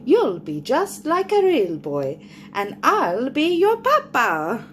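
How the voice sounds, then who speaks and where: A kindly old toymaker bursts with gentle joy in a children's storybook, as a woman reads his part. He speaks warmly and slowly, his voice lifting with delight. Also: high-pitched, a little hiss or hum, full character voice. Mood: excited. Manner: warm, excited.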